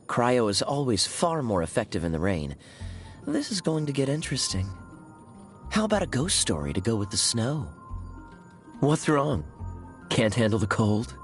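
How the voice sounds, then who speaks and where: An actor playing a suave, teasing young knight in a video game, speaking in a smooth, sly manner. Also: male voice, medium pitch. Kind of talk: video game character voice lines.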